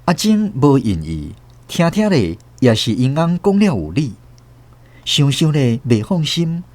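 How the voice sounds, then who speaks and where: A man's voice: on the high side, sing-song, a little slow, a little mellow, dry recording. A man with a Taiwanese Hokkien accent narrating a radio drama as a storyteller. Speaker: host and narrator.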